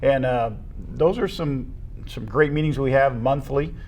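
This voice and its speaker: Casting: middle-aged American man, average pitch, sincere and appreciative, relaxed interview talk from a school athletics staffer. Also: a little slow, slight background noise. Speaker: retiring assistant athletic director, interview guest. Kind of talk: casual conversational interview.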